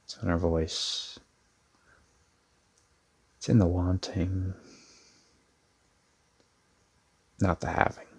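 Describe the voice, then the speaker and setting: A man acting as an earnest young brother in an audio roleplay, his voice low and gravelly. He speaks slowly and intimately, with hesitant pauses, like someone confiding in a friend. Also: little pitch movement, a little mellow, heavily acted. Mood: awkward, tender. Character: protective older brother, a laid-back but sincere young man. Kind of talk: ASMR audio roleplay, intimate one-sided dialogue.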